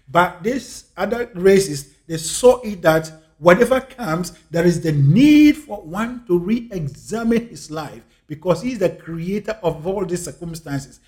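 A man from Ghana with a Ghanaian English accent, giving an earnest reflective monologue and repeating key phrases for emphasis. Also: high voice. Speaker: speaker on life and scripture.

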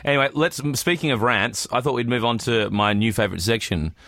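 A man's voice: mid-range voice, brisk, fairly bright tone, no room sound, clean studio sound. A man with an Australian accent hosting a podcast in casual banter, sarcastic and blunt. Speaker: podcast host.

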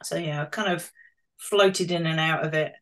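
A woman's voice: sits low, fairly even intonation, moderate pace. British woman in her fifties, chatting. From London, England. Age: 50s. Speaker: interviewee, interpretive planner and tourism marketer. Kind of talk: casual conversational interview.

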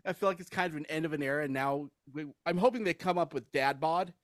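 A man hosting a casual podcast chat about movies, opinionated and quick to laugh. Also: fairly high pitch, a bit bright, no echo, studio quality. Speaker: podcast host.

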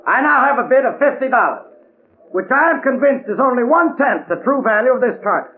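An actor playing a jovial charity auctioneer with showy, coaxing patter in a 1940s radio drama. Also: male voice, extremely high pitch, lively intonation, a little fast. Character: jovial, fast-patter male charity auctioneer working a crowd. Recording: tinny, noisy 1940s radio recording.

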